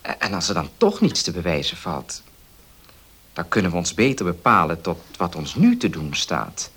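An actor playing a sober young student who speaks in an earnest, reassuring way in a Dutch radio play. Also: male voice, low-pitched, very animated, normal speed, fairly bright tone, breathy edge, heavily acted. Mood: resigned, earnest. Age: young adult.

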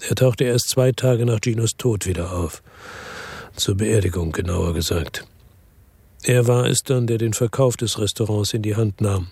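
A hard-boiled male investigator telling his own story in a radio play. The voice is low, gravelly and husky. He keeps it terse and measured, recorded very dry and close. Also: a little mellow, dry recording. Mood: bitter, resigned. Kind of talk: scripted first-person radio drama narration. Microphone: close dry studio microphone.

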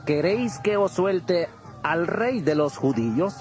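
An actor playing a puzzled Roman governor with declamatory questioning in a dramatized audio Bible. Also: male voice, high voice, animated, takes it slowly, darkish tone, soft background music. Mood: insistent appeal.

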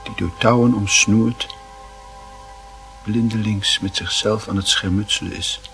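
A man narrating a literary radio play in a grave, measured manner, with a very husky, rough voice. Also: on the low side, steady tone, a bit bright, faint music behind.

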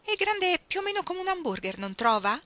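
A woman's voice: very high voice, sing-song, a little fast, pushed and bright, dry recording, pitched above the performer's own voice, full character voice. A woman voicing a delighted, rambling elderly lady in an audiobook, easily sidetracked. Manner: rambling, wondering. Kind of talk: audiobook story acting.

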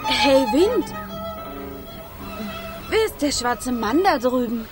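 A woman's voice: high-pitched, big pitch swings, slow pace, over loud music, full character voice. An actress playing a wild, impatient young female fire spirit, playful and yearning, in theatrical children's radio play style. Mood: curious. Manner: theatrical, exclaiming.

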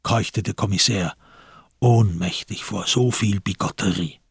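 Husky male narrator, very dry sound. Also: lowish voice, a little slow, no echo. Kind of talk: literary radio drama narration, scripted.

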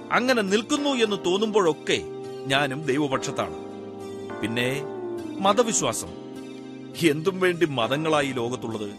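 An actor playing a wry, secular man risen from death, chatting and teasing unseen listeners in a radio drama monologue. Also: on the high side. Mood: musing. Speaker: monologue performer.

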